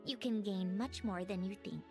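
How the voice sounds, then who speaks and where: A woman's voice: medium pitch, normal speed, a little mellow, soft background music, close to an everyday voice. A woman playing a brusque, proud young woman in an anime-style game, huffy and theatrical but fond of her friends.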